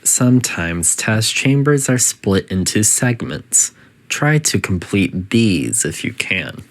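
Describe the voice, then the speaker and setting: A man voicing a condescending, passive-aggressive computer that runs a testing facility in a video game, with a measured delivery. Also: lowish voice, sing-song, full character voice. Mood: clinical, instructive. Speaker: test chamber announcer and antagonist. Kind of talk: scripted video game voice lines.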